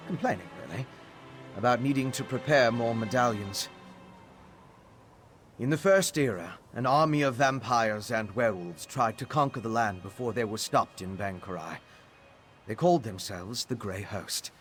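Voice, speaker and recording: A man's voice: mid-range voice, animated, normal speed, faint music behind, natural voice. A man playing an earnest, inquisitive scholarly ally in a fantasy video game, laying out quest details. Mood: intent, urgent.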